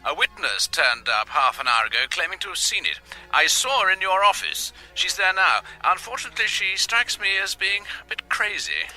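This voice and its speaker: An actor with a British accent playing a respectful police subordinate making a report in a radio drama. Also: male voice, sits high, sing-song, normal speed, bright, forceful tone, faint music behind, heavily acted, rough recording. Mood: earnest. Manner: deferential, matter-of-fact.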